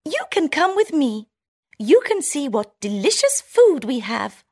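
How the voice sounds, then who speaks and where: A woman voicing an excitable, squeaky female town mouse in a storybook reading. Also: unhurried, exaggerated voice. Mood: excited. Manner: shrill, urgent squeals.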